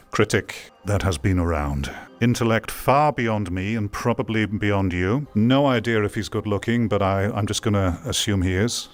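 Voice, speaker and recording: Low-voiced man, emphatic commentator. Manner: emphatic, repeats words for stress. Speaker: video host, VR commentator. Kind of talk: opinionated commentary to viewers.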